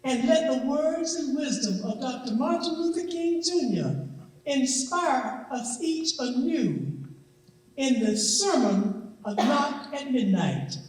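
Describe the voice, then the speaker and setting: A woman presenter delivering a formal, ceremonial introduction in a measured, solemn, oratorical manner. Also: high-pitched, sing-song, a little mellow. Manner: measured, solemn, deliberate phrasing. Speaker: presenter introducing a readers theatre program. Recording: echoey room, distant mic.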